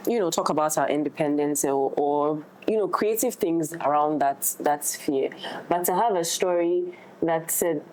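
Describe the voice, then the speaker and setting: Low-voiced woman in casual discussion. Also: rough recording. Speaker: actress in an audio drama.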